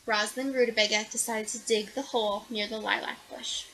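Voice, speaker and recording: A woman reading a children's picture book aloud in an animated, expressive way. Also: fairly high pitch, brisk, slight background noise, rough recording. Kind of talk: children's storybook read-aloud.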